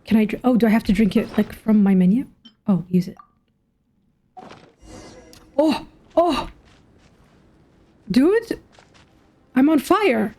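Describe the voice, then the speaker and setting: A woman's voice: fairly high pitch, expressive, slow, long pauses. A young woman giving casual gameplay commentary, thinking aloud in slangy, informal speech. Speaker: gamer reacting to a story quest. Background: soft game music.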